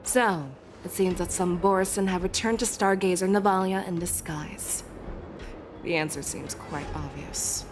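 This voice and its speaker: An actress playing a shrewd, measured woman general who reasons through clues, in a game cutscene. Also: fairly low pitch, fairly even intonation, over music, lightly acted. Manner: analytical, measured. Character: shrewd, composed woman military leader. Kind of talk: video game cutscene voice acting.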